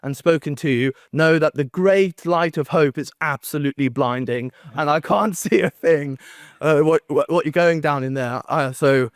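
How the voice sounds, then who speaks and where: Wry, halting male conference speaker, dry sound. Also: a little high, no room sound. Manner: self-deprecating, wry, halting delivery. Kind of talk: academic conference talk with joking asides. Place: conference room, speaking standing before an audience.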